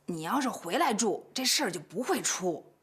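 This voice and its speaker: A woman's voice: medium pitch, very animated, normal speed, a bit bright, husky, heavily acted. An actress playing a brash, stubborn older sister in her late thirties in a TV family drama, combative and indignant. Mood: defensive. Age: late 30s. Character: brash, stubborn, scheming older sister, quick to take offence. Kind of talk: naturalistic TV drama acting. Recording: faint background music.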